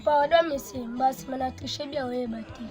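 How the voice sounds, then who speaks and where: Woman as high-pitched, pleading cartoon schoolkid. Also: steady tone, normal speed, a little mellow, lightly acted. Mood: protesting, pleading. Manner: protesting, pleading. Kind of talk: cartoon character dialogue.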